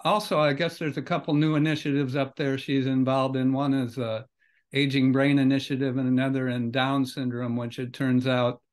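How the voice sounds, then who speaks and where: A man's voice: medium pitch, flat delivery, normal speed. Older male host, casual interviewer on a video call, easygoing and hedging, mid-range voice. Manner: conversational, loosely phrased with hedges. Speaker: podcast host. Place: home study with bookshelves. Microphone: video call webcam.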